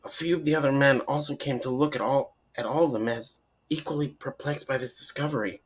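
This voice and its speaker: A man narrates a horror tale in a husky voice of middling pitch. His dramatic reading is a little halting, as he tells the story in the first person.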